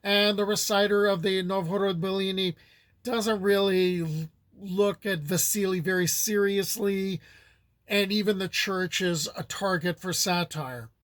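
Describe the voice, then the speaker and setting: A man with a fairly high voice gives a loose, conversational talk on old folk tales. He wanders into asides as he explains. The sound is close and dry, with no room around it. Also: a bit bright, dry recording. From United States. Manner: rambling and conversational, with many asides. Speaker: folklore channel host. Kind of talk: casual lecture on folklore.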